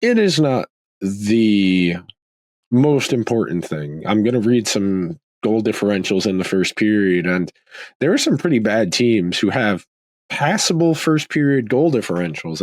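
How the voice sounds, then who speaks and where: A man hosting a sports podcast, talking casually with a slightly raspy voice, thinking aloud with frequent restarts. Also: on the low side, lively intonation, moderate pace, darkish tone, dry recording. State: slightly raspy from a throat tickle. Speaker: podcast host.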